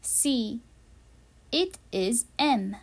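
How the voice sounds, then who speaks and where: A woman reading an English listening quiz for learners, carefully enunciated, with pauses between the answer choices. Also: on the high side, animated. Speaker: English teacher.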